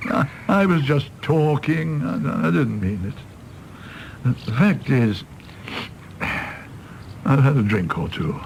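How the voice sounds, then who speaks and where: A man acting a tipsy, bitter, apologetic middle-aged British doctor in a radio drama, rambling and halting in a gravelly voice. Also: mid-range voice, animated, slight background noise, low-quality recording. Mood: pleading, apologetic. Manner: rambling, halting, swinging from ranting to meek.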